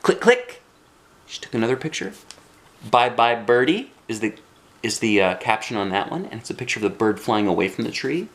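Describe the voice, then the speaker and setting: A man reading a children's book aloud in an expressive, folksy way, with chatty asides. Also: lowish voice, a little fast. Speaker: children's book reader and channel host. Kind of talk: animated read-aloud storytelling for children. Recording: roomy home recording.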